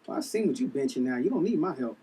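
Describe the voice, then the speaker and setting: A man's voice: medium pitch, lively intonation, quick pace, dark tone, close to an everyday voice. Gym trainer male actor at table read: protective flirt, slangy, off-mic in a noisy room. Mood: teasing, flirty. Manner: casual slangy talk. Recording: noisy, distant room recording.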